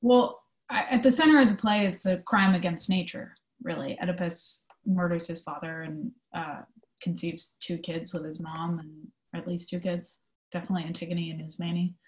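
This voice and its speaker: A woman answering interview questions in an informal, conversational way, explaining her work thoughtfully with pauses. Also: lowish voice, fairly even intonation, poor audio. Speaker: theatre company manager being interviewed.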